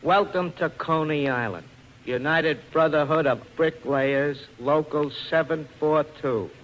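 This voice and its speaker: An actor playing an easygoing, naive sidekick in 1940s radio style. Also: male voice, on the high side, a little slow, a bit bright. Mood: dazed, puzzled. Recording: faintly noisy 1940s radio recording.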